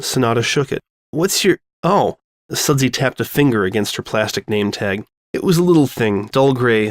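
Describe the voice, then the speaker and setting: Male storyteller, medium pitch, expressive scripted narration, very dry recording. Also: no room sound.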